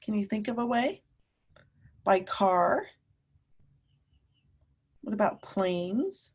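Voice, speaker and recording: A woman teacher reading a picture book aloud to young children, animated and emphatic, often putting questions to her listeners. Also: mid-range voice, slightly rough. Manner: animated, emphatic, drawn-out words, coaxing young children along.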